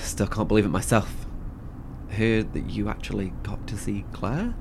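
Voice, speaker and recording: Low-voiced, sweary, fiercely loyal brother. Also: male voice, creaky, heavy background noise. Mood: quietly fond. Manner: halting, sweary, heartfelt. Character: Elliot, emotional, determined, foul-mouthed loyal brother surviving horror. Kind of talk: naturalistic horror audio drama acting.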